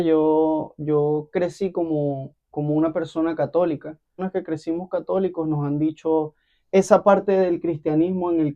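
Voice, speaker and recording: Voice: mid-range voice, muffled recording. An interview host asking questions in a casual, affirming, conversational way. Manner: affirming and conversational, with small listening sounds.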